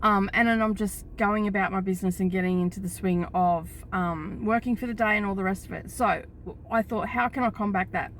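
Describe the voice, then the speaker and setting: A middle-aged Australian woman vlogging into her phone camera, chatting in a rambling way with a croaky morning voice. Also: mid-range voice, fairly quick, slight background noise. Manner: rambling, chatty, lots of fillers and asides. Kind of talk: casual chat to camera.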